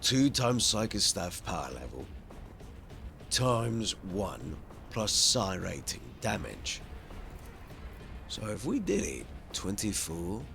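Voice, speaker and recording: A man hosting a game playthrough in a low voice with a creaky edge. He thinks out loud and chats to his characters at an unhurried pace, over game music. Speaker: gaming channel host. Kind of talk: playthrough commentary over a turn-based strategy game.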